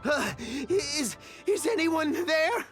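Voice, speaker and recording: A man playing a cowardly, excitable merchant in a video game, pleading and grovelling in an exaggerated, frantic way. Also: very high voice, slow, over music, full character voice. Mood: nervous, apologetic.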